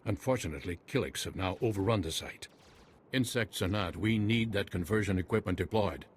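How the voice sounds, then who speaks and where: Man playing a low-voiced, courteous noble general. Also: natural voice. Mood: earnest, grateful. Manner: formal, measured. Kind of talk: video game voice acting. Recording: faint battle noise, gunfire behind.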